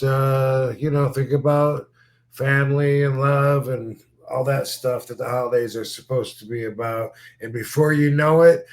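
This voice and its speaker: Laid-back man in his fifties. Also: medium pitch. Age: 50s. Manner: rambling, profane, laid-back. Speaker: podcast host, former wrestler. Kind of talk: casual podcast chat.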